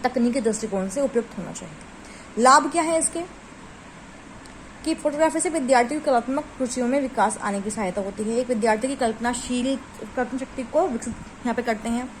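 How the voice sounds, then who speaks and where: A woman's voice: fairly high pitch, brisk, slight background noise, rough recording. A woman teacher explaining a lesson, reading out textbook phrases and then rephrasing them in casual Hindi mixed with English words. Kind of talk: explaining from study notes.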